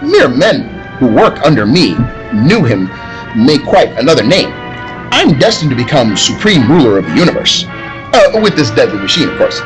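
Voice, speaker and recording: A man's voice: mid-range voice, sing-song, moderate pace, over music, lightly acted, rough recording. Man playing a wildly swooping megalomaniac villain. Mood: menacing, smug. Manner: grandiose, gloating, theatrical. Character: male megalomaniac mad villain seeking world domination. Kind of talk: live old-time radio drama acting.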